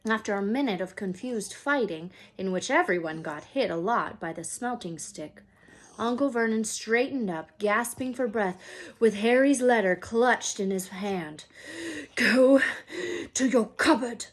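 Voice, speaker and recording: A woman reading a children's book aloud as a storyteller, dramatic and expressive, sometimes hushed for suspense. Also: mid-range voice, unhurried. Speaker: read-aloud storyteller, teacher.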